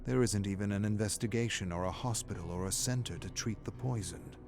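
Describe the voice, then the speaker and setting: A man reading a scripted story as a dramatic narrator, grave and deliberate. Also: fairly low pitch. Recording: music playing behind the narration. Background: background music.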